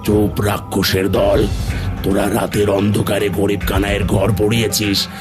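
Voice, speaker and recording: A man playing a stern, commanding demon spirit in an animated fairy tale, speaking threateningly. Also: fairly low pitch, normal speed, lightly acted. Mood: menacing. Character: stern, powerful male demon spirit dealing out punishment. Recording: loud background music.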